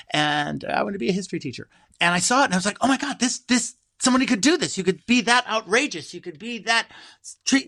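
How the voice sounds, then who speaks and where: A man's voice: sits high, dry recording. Middle aged american guy telling stories in an interview, acts out the dialogue, really dry close sound. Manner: animated storyteller who acts out remembered dialogue. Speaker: interview guest, theater playwright, actor and director. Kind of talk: conversational interview storytelling.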